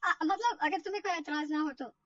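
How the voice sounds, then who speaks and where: A woman's voice: very high-pitched, fairly quick, a bit bright, no echo, distant mic, lo-fi sound. A woman voicing a kindly, easygoing middle-aged aunt in a family drama story, chatty and reassuring.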